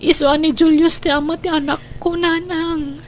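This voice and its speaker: A high, plaintive female voice acted by a woman plays a remorseful young wife. She implores in melodramatic style, over faint background noise. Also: level tone, moderate pace. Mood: subdued remorse. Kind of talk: melodramatic audio drama acting.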